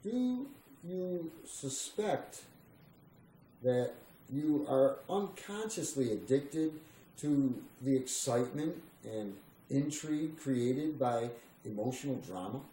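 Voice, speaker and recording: Male reader for spiritual text, slow and meditative delivery, roomy sound with slight background noise. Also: mid-range voice, animated, dark tone. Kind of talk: slow meditative scripted reading.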